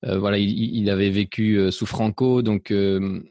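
A man's voice: on the low side, little pitch movement, normal speed, dark tone, no room sound, clean studio sound. A middle-aged man from Spain with a mixed French accent, talking about his life in a hesitant way, full of fillers and restarts. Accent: mixed French, slight Belgian. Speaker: interview guest. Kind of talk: reflective personal interview.

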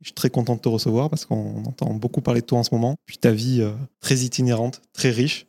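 Fast-talking male podcast host. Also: mid-range voice, steady tone, gravelly, warm tone, no room sound. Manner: quick, scripted intro then friendly questions. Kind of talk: interview podcast.

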